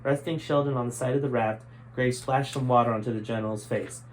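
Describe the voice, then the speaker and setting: Male author, storybook narration, middle pitch, faint background noise. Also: steady tone, brisk, rough recording. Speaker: author reading own book.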